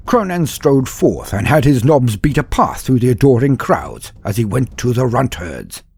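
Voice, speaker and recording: A man with a husky, slightly breathy voice tells a comic tale in a grand, mock-heroic manner. His pitch swings widely as he drops into dry asides, with soft music playing under him. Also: no echo. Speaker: narrator of a fan story. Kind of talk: mock-epic comedic narration.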